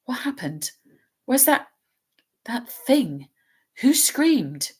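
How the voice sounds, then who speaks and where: A woman's voice: high voice, sing-song, very slow, darkish tone, slightly rough, voice pushed up, heavily acted. A woman reading aloud and voicing a shaken teenage boy who asks urgent, confused questions.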